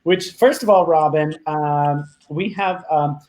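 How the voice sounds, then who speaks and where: Lower-voiced woman hosting a casual show. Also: slow pace, darkish tone. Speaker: host.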